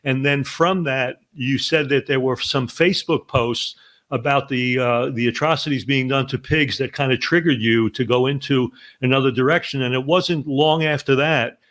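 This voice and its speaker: A man's voice: mid-range voice, moderate pace, a bit bright. A man hosting an interview, asking long, earnest questions full of restarts. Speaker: podcast host.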